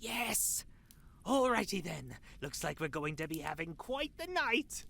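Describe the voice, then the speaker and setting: A woman playing an excitable, chatty, teasing tavern friend in a fantasy audio drama, with a dramatic, gushing manner. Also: fairly low pitch, a little slow, creaky, full character voice. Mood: curious. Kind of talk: fantasy visual novel audio drama acting.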